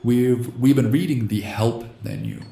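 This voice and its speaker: A slightly hoarse man hosting a gaming channel, reading a text adventure game aloud in a soft ASMR style and speaking the punctuation marks. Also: mid-range voice, unhurried, reverberant. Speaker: host.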